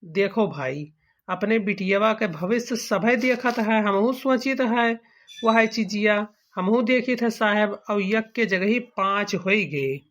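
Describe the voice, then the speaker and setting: A man's voice: high-pitched, unhurried, fairly bright tone. A man playing an aggrieved, calculating village father-in-law in a cartoon comedy, arguing point by point with an eastern Uttar Pradesh accent. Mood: argumentative, aggrieved. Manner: argumentative, explaining point by point.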